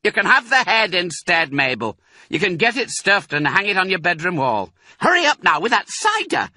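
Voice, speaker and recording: A man's voice: high-pitched, sing-song, moderate pace, pushed and bright, no echo, pitched above the performer's own voice, heavily acted. A man doing a bossy, impatient farmer's wife.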